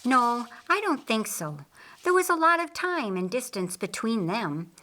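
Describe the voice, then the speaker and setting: Woman reading as a slow, earnest, kindly man. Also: fairly high pitch, big pitch swings, sharp tone, voice pushed up, full character voice. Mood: earnest, recounting. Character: kindly reflective man, master of the house.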